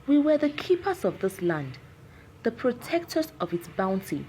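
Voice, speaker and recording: A woman's voice: on the high side, sing-song, normal speed, dark tone, slight background noise, poor audio. A woman voicing an anguished, vengeful cursed village man in a folktale, grave, dramatic and pleading. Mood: sorrowful, bitter.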